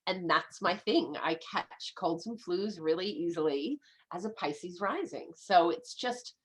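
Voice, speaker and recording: A woman's voice: fairly low pitch, animated, measured pace. A woman in her fifties with an American accent, nasal and a little stuffy from a head cold, chatting enthusiastically as an interview guest. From United States. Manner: chatty, enthusiastic, restarts often. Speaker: guest, medical astrologer. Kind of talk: casual podcast interview.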